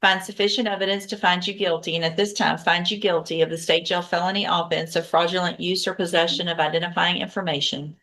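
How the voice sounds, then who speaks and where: A woman judge with a notably low voice runs a courtroom hearing. She is formal, measured and matter-of-fact as she moves through scheduling and plea questions. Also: fairly even intonation, fairly bright tone. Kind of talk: courtroom proceedings.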